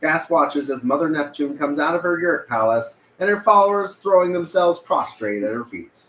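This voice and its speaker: A man's voice: a little high, animated, moderate pace, bright, forceful tone. A man reading stage directions as scripted narration at a film table read. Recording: roomy, echoey with room noise.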